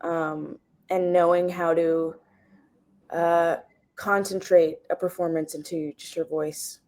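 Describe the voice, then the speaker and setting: A woman's voice: on the low side, flat delivery, slow, a little mellow. A woman giving a conversational answer as an interview guest.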